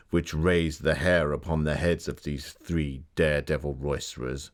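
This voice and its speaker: An actor playing a Victorian gentleman author who recounts his dark tales in a measured, formal and theatrical way. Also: very deep voice. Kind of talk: dramatic scripted storytelling for an exhibit.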